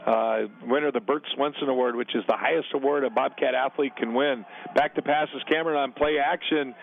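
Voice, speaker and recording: A man calling a college football game on radio from a stadium press box, brisk on the plays and relaxed when chatting with guests. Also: medium pitch, gravelly, slight background noise. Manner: brisk play calls mixed with relaxed booth chat. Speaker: radio play-by-play announcer. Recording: narrow phone-like radio broadcast.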